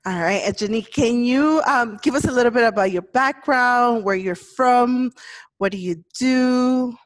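A woman hosts a casual interview, with a voice a little higher than most. She asks friendly questions, hesitating often, with plenty of ums along the way. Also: unhurried. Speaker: host.

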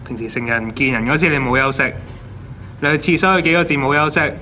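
A man's voice: mid-range voice, quick pace, sharp tone, a little hiss or hum, close to an everyday voice. An actor playing a stern, sarcastic office boss who scolds his staff in a radio drama. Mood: angry, mocking. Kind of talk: Cantonese radio drama acting.

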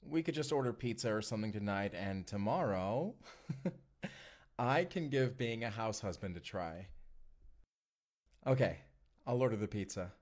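Man acting low-voiced affectionate future husband. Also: lively intonation, measured pace, pauses often, darkish tone. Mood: casual, upbeat. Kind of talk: intimate first-person audio roleplay.

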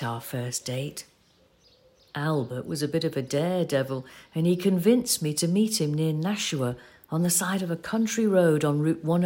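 An actress playing a patient, practical elderly wife in an audio play, explaining things in a fond, conversational way. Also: female voice, deep voice. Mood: reminiscing. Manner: conversational, gently explaining, reassuring. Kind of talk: audio play acting with reflective narration.

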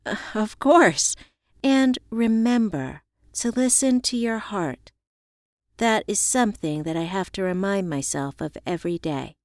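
A woman voicing a reflective, encouraging heroine in an audiobook, speaking in a measured, earnest way. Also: medium pitch, animated, dry recording, clean studio sound. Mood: reassuring.

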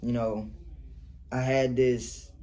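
A man's voice: medium pitch, little pitch movement, slow pace, dark tone. A young man with a Detroit accent, a rapper talking casually in an interview, rambling and laughing often. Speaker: rapper guest.

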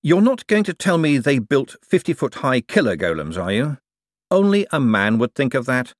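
Exasperated man done by a male reader, wry, quick-witted, argumentative, storybook character, lively intonation, dry close sound. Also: medium pitch, dry recording, pitched above the performer's own voice, lightly acted. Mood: sardonic. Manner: sardonic, argumentative. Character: wry, exasperated, fast-thinking man prone to outrage.

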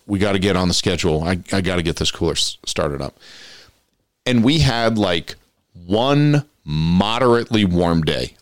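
A man's voice: deep voice, dry recording. A man hosting a podcast in casual chat, a rambling storyteller who chuckles often, his voice congested from allergies. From Western Colorado. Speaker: podcast host.

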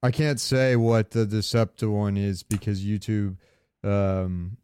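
A man's voice: fairly low pitch, little pitch movement, takes it slowly, darkish tone, smooth. A man co-hosting a podcast, chatting casually about video games and rambling as he searches for words. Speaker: podcast co-host.

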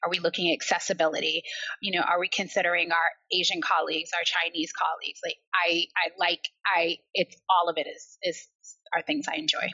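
A woman with an American accent, a guest on a podcast, talking in an animated, enthusiastic way about work and her background. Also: on the low side, fairly quick, husky, lo-fi sound. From born in England, raised in Barbados and the US. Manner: animated, enthusiastic, self-deprecating. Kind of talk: casual conversational interview.

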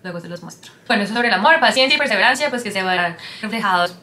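Casual vlogger, woman in her thirties, quick and chatty, mixes in English, mid-pitched. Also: expressive, pushed and bright, a little hiss or hum. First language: Spanish. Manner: chatty, rambling, switches into English mid-sentence. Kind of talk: casual talk to camera.

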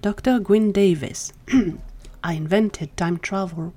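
A woman plays an earnest mad scientist, a man of quiet pride. He speaks softly and in a measured way, as in an audio drama. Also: mid-range voice. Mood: proud.

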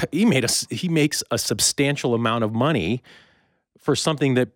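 A man's voice: medium pitch, moderate pace, dry recording, studio quality. A North American man hosting a casual interview, chatting informally and telling anecdotes. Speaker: podcast host interviewing a guest.

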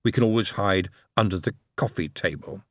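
A man's voice: low-pitched, a little fast, no room sound, clean studio sound, close to an everyday voice. A man voicing an innocent, cheerful young woman. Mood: breezy.

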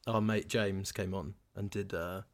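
Male podcast host, lowish pitch, informal and bantering, conversational delivery. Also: fairly even intonation, unhurried, a crowd in the background. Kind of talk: casual chat.

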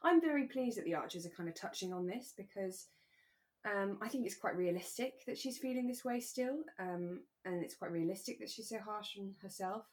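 A woman co-hosting a podcast, talking in a relaxed, earnest and reassuring way. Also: mid-range voice, normal speed. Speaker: podcast co-host. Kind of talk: relaxed discussion of a radio drama.

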